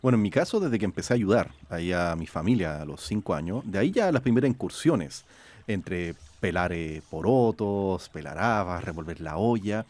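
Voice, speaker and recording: A man's voice: normal speed, no room sound. A man, a chef and food scientist, talking. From Chile. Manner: lively storyteller, rises in pitch when quoting. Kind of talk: conversational interview. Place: outdoor garden.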